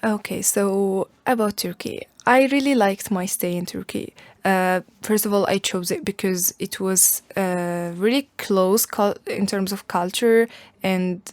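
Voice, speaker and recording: A woman's voice: medium pitch, steady tone, unhurried, no room sound. A young Algerian woman whose first language is Arabic, answering interview questions in a casual, thoughtful way, with many fillers and restarts. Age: young adult. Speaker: guest.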